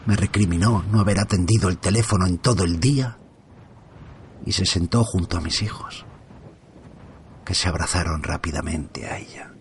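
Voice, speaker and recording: Casting: middle-aged man, low voice, hushed storyteller, faint street hum behind. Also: normal speed, pauses often. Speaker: first-person narrator. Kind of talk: scripted horror narration. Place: at home by an open window.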